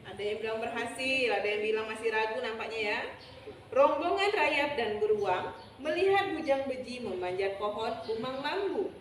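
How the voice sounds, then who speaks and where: A woman's voice: a little high, expressive, normal speed, far from the mic. A woman telling a children's story expressively and prompting listeners with questions. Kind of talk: interactive storytelling to an audience. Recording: echoey room from afar, noisy.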